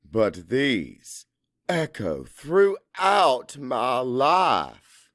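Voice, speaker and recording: Slow, expressive male audiobook narrator. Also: medium pitch, no room sound, clean studio sound. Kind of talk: dramatic first-person fiction narration.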